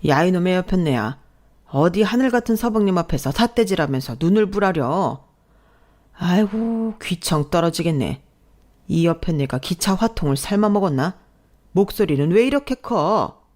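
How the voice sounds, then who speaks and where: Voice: medium pitch, lively intonation, normal speed, darkish tone, lightly acted. A narrator voicing a boorish, lazy husband and father who scolds and sneers in a family story. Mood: sneering.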